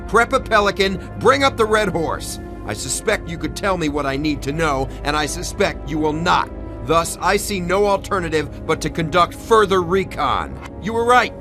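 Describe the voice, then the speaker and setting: A man's voice: a little high, expressive. A man playing a stern, principled navy commander who speaks formally and firmly in a military drama. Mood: impassioned. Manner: formal, firm, deliberate authority. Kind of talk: motion comic voice acting. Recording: dramatic music behind.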